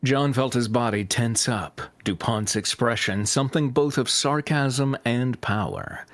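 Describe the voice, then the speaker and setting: A man's voice: mid-range voice, a little slow, no echo. A man reading a story aloud and chatting casually and jokingly with fellow panel members.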